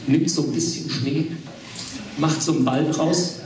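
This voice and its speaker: A man's voice: a little high, unhurried. A man in his thirties doing a stand-up comedy routine on stage, telling stories in a casual, slangy way. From Wales. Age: 30s. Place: live stage before an audience. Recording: echoey, distant stage sound, loud noise.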